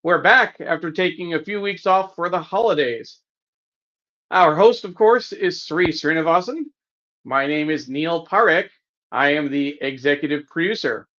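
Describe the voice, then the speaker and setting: A man with a fairly high, very bright voice opens a live webcast as co-host and producer, greeting viewers and running through announcements. The recording is a little dull and lo-fi. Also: measured pace. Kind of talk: live online show opening, reading greetings to viewers.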